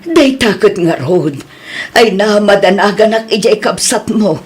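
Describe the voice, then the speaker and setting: A woman's voice: medium pitch, expressive, unhurried, close to an everyday voice. A woman playing a worried elderly mother who pleads and scolds, in an Ilocano radio drama.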